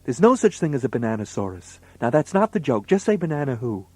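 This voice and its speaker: A man's voice: medium pitch, big pitch swings, quick pace, dark tone, no room sound. A man working as a children's entertainer, playing the straight man in comedy banter and explaining things to young listeners.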